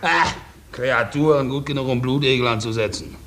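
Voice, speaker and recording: A man's voice: medium pitch, lively intonation, moderate pace, fairly bright tone, lightly acted. An actor playing a vain, stingy Russian nobleman, a count's brother, in a theatrical, boastful, mocking manner in a 1950s German radio play.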